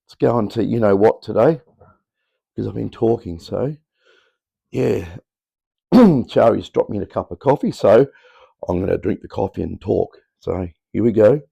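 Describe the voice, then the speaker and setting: A middle-aged Australian man with a low, mellow voice, croaky and worn from a long day of talking. He rambles offhand and chatty, explaining as he goes, with a gravelly edge throughout. Recorded on a camera mic in a cluttered home workshop. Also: animated, normal speed, pauses often. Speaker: electronics hobbyist host. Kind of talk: casual workshop demo. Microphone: camera mic on tripod.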